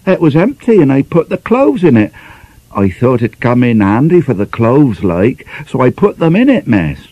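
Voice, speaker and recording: A man's voice: medium pitch, huge pitch swings, moderate pace, no echo, highs cut off, pitched above the performer's own voice. A man voicing a simple young housemaid in an audiobook, artless and uneducated in her speech. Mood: defensive.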